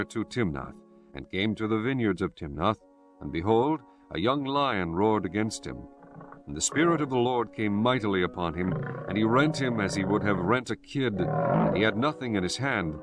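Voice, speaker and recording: Male Bible narrator: deep, formal, solemn read over clearly audible music. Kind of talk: scripted scripture narration. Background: prominent music.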